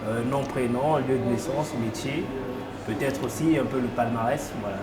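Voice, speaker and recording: A man's voice: mid-range voice, normal speed, a crowd in the background. A man hosting a casual interview, asking rambling questions that he repeats and rephrases. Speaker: interviewer, channel host. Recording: roomy echo, music underneath.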